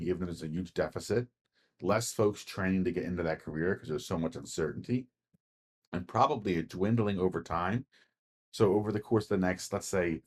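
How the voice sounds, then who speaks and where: An American man co-hosting a podcast, explaining his views in a plain-spoken, assertive, casually analytical way. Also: low voice. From Philadelphia, United States. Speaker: podcast co-host.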